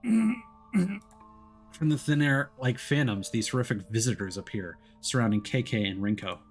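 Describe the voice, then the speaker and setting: A man's voice: mid-range voice, expressive, unhurried, soft background music. A man streaming a game, switching between reading narration aloud and casual commentary.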